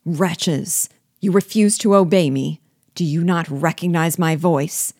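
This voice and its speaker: A woman's voice: low-pitched, fairly even intonation, a little slow, darkish tone, no room sound, studio quality. A woman voicing a vengeful dwarf sorcerer in an audiobook, commanding and passionate, with a raised voice. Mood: furious cursing. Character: vengeful dwarf sorcerer, furious man hurling curses. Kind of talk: dramatic audiobook character reading.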